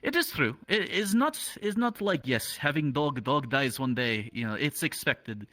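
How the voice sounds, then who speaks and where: A man improvising in-character roleplay dialogue, speaking in a halting, reflective way with dropped articles. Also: mid-range voice, expressive, pushed and bright, dry recording, soft background music. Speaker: roleplayer in an online game.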